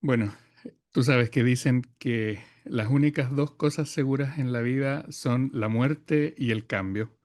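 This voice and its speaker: A man from Chile, a podcast guest who speaks reflectively, pausing often with fillers as he gathers his thoughts. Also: mid-range voice, a little mellow, dry recording, studio quality. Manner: thoughtful, many hesitations and fillers. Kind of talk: reflective conversation.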